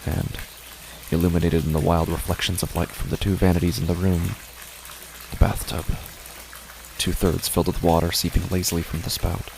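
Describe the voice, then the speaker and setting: Really low creaky guy reading scary fiction as podcast narrator, bit of noise behind him. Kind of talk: scripted horror narration.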